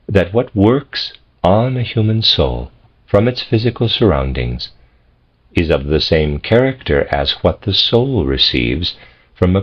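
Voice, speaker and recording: A man reading a philosophical text as scripted narration, in measured, deliberate phrases with pauses. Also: low-pitched, husky. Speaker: audiobook reader. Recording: dull, lo-fi audio.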